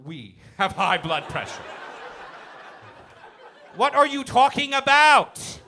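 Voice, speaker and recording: A young man from Iran works a comedy club crowd through a handheld mic, brash and teasing. His voice is bright and forceful, and his pitch swings widely as he rants, with faint room noise behind him. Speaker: stand-up comedian. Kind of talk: stand-up set with crowd work. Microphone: handheld stage mic.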